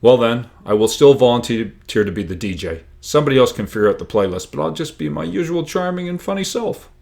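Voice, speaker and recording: A man's voice: mid-range voice, very animated, a little fast, gravelly, darkish tone, voice pushed up. A man voicing a carefree, cocky schoolboy joker in a book reading, with a breezy, teasing manner. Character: joking, carefree, cocky schoolboy class president.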